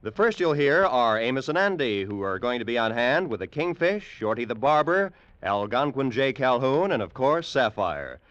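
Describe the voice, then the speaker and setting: A man's voice: mid-range voice, very animated, normal speed, hardly any pauses, fairly bright tone, no echo, dull audio. A man giving a polished, promotional 1940s network radio announcement.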